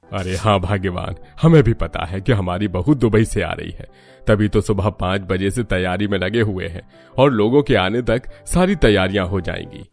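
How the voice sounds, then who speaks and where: Man playing a patient, low-voiced middle-aged father-in-law. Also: moderate pace, creaky, a little mellow, soft background music. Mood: reassuring. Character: good-natured middle-aged father-in-law, busy with preparations. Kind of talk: Hindi cartoon story voice acting.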